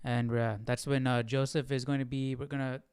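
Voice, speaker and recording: Guy hosting a bible study stream, clear voice, dry sound, casual thinking out loud. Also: medium pitch, flat delivery, normal speed, no room sound. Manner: informal, musing, hesitant. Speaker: host of a live Bible study. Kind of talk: casual live-stream chat.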